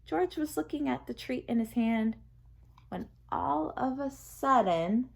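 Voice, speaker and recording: A woman narrating a children's picture book aloud in an expressive, deliberate storytelling manner. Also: high-pitched, vocal fry.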